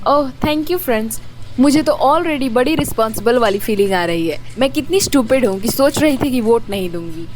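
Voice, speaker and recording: Actress as a sassy high-voiced young woman. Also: normal speed, natural voice, rough recording. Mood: won over. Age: young adult. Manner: animated banter, sarcastic. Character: sassy, social-media-loving young working woman. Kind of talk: scripted radio drama acting. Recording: faint background noise and ambience.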